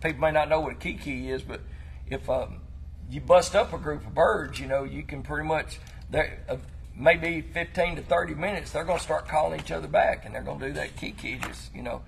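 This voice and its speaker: A man with a Tennessee Southern accent and a hoarse voice, chatting casually and folksily as host of an outdoors talk show. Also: a little high, brisk, a little hiss or hum. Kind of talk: casual hunting chat.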